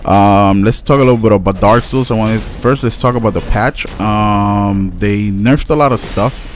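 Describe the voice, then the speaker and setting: A man's voice: on the low side, normal speed. A young man with a Spanish accent, a native Spanish speaker, giving casual gameplay commentary and stumbling over his words as he rambles. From Latin America. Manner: rambling, stutters and restarts often. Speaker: gaming YouTuber. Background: faint household noise, dog barking.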